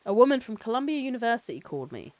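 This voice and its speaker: A woman's voice: a little high, very animated, very fast, no echo, voice pushed up. A woman voicing a reserved, plain-spoken male scientist in dialogue from a novel she reads aloud.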